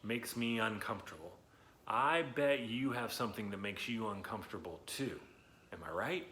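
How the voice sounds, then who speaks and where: A man hosting a read-aloud lesson for children, talking in an animated, chatty, encouraging way with emphatic words and questions to his listeners. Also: fairly low pitch, moderate pace. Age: adult, not young.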